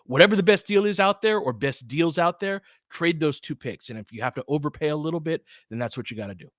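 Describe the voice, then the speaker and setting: A man's voice: on the high side, animated, fast talker, a bit bright, no room sound, studio quality. An American man hosting a basketball livestream, talking in an informal, slangy way as he mixes fan chat with play-by-play commentary.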